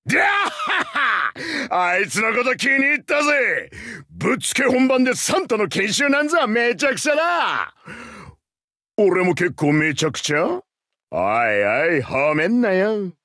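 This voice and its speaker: A man's voice: sits high, very animated, unhurried, sharp tone, dry recording, studio quality, exaggerated voice. A man voicing a cheerful, hot-blooded masked wrestler hero in a mobile game, with brash, slangy delivery. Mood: bashful, joking. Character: boisterous, cheerful tiger-masked wrestler hero in Santa outfit. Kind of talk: anime game character voice acting.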